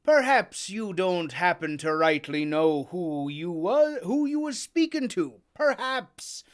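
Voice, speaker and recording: A reader voicing a cunning, hearty one-legged old pirate innkeeper who talks loudly in sailor's slang. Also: mid-range voice, sing-song, slow pace, creaky, pitched above the performer's own voice, full character voice. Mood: blustering. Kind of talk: audiobook character voice.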